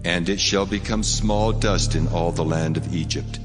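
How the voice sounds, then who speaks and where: A very low male voice acted by a man plays a commanding, solemn deity in scripture drama. It rings in long cavernous reverb over clear music, stately in every order. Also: normal speed, lightly acted.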